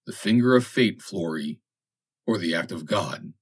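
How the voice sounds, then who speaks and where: A man's voice: low-pitched, animated, a little slow, breathy edge, full character voice. Man acting a wounded, emotional young navy officer. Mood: pained irony. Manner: agitated, pained. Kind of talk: dramatic audiobook character voice.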